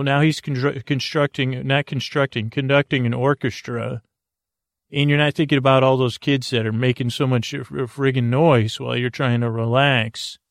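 A man hosting a bedtime podcast, telling a meandering, halting story full of restarts and fillers to help listeners fall asleep. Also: mid-range voice, gravelly, no echo, clean studio sound. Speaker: podcast host. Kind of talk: rambling bedtime story to put listeners to sleep.

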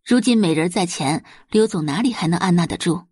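A woman's voice: on the low side, animated, fairly quick, darkish tone, husky, dry recording. A woman reading scripted, dramatic audiobook narration as the narrator of a story.

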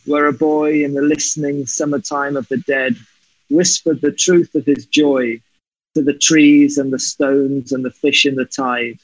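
A man's voice: medium pitch, no room sound. A man with a light Welsh accent reads a poem and then speaks about it in an earnest, reflective way over a video call. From Wales. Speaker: guest. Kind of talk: poetry reading and conversational interview. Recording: slightly muffled dry online call audio.

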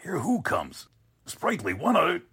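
An actor playing a bewildered, flustered newspaper boss, halting and exclamatory, in 1940s radio drama style. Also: male voice, on the high side, wildly swooping pitch, gravelly, very noisy, full character voice. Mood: puzzled.

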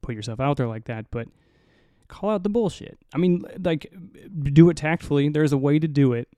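Young dude podcasting solo, low mumbly dark voice, swears a lot, mouth right on the mic. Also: male voice, moderate pace, no echo. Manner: rambling, profane, self-mocking. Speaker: podcast host. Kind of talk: casual solo podcast talk. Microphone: close to the mic.

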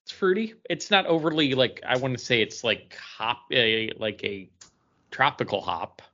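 A man co-hosting a casual podcast, thinking aloud in a halting, conversational way. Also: mid-range voice, bright, forceful tone. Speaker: podcast co-host. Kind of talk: casual chat. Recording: dull lo-fi recording.

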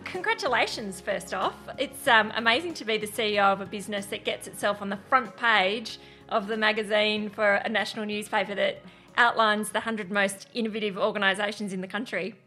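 A woman's voice: medium pitch, a little fast, pushed and bright, soft background music. A woman hosting an interview podcast in a polished, presenter style, introducing and questioning guests.

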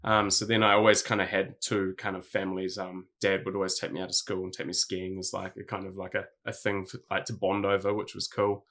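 A man's voice: deep voice, little pitch movement, a little fast, few pauses, fairly bright tone. A New Zealand man in his thirties answering interview questions in a laid-back way, with plenty of ums and likes. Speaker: guest, clothing brand founder.

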